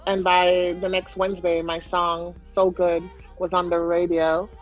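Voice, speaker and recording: A woman's voice: fairly low pitch, flat delivery, measured pace, highs cut off, soft background music. Chatty woman with a light Jamaican accent. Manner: chatty, rambling, many fillers and restarts. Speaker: singer, interview guest. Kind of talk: casual storytelling in an interview.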